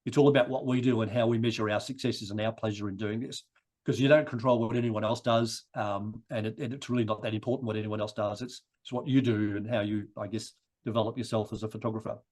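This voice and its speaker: A man giving a rambling thank-you speech for a society, his voice croaky from a chest cold. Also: on the low side, fairly even intonation, fast talker, darkish tone. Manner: rambling, many restarts and repeated words.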